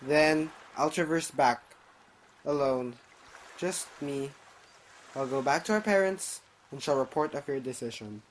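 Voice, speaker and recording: A man's voice: mid-range voice, a little slow, slight background noise, natural voice, low-quality recording. A man playing a dutiful, earnest brother and doctor in an amateur audio drama, with formal phrasing. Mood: resigned.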